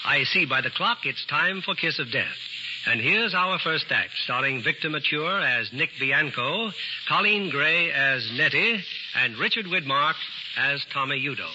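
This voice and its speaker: A man's voice: on the high side, animated, background noise. Stately 1940s radio announcer, man. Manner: stately, measured. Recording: muffled 1940s radio broadcast with loud music.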